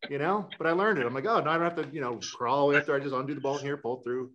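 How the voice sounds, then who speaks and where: Middle-aged wisconsin guy on a video call, rambly, mid-pitched, ums and you-knows everywhere. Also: racing, fairly bright tone, lo-fi sound, a little hiss or hum. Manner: rambling, many fillers and restarts. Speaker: guest sailor. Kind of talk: casual interview talk. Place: indoor room.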